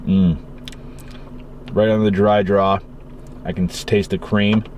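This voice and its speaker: A man's voice: lowish voice, flat delivery, takes it slowly, a little mellow, a little hiss or hum. Rambling Massachusetts guy in a car. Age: young adult. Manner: rambling, casual, swears freely. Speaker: cigar reviewer. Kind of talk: casual vlog chat. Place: in his car on a rainy day.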